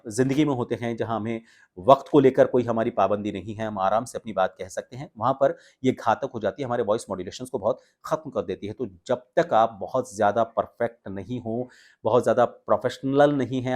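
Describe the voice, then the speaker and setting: Man teaching in a measured explanatory way. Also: mid-range voice, a little mellow. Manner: explanatory, pausing and stressing key words. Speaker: voice coach and video presenter. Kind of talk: instructional talk to viewers with spoken examples.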